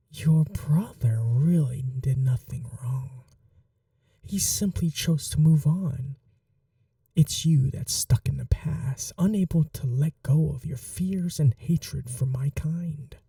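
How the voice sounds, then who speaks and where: A man playing a possessive, tender yet cruel ageless vampire lover in an intimate ASMR roleplay, soft and breathy, often slipping into whispers, slow and coaxing. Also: fairly high pitch, no room sound, studio quality, distant mic, heavily acted.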